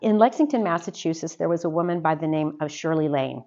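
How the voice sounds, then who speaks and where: A woman's voice: deep voice, fast talker, muffled recording. A woman guest in an interview, telling her own history in a chatty way with fillers. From United States. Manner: warm, chatty storytelling with fillers. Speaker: interview guest, organization officer. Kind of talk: casual interview answers.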